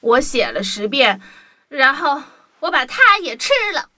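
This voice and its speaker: High, animated woman storyteller. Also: a little slow. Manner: playful, animated, first-person worm diary. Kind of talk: children's storybook narration. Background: background music.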